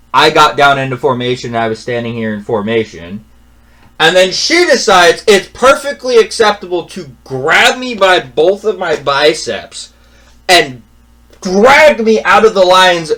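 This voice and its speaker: A young man telling a personal story in a casual rant, exasperated and repetitive, dropping to a mutter and then rising loud. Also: high voice.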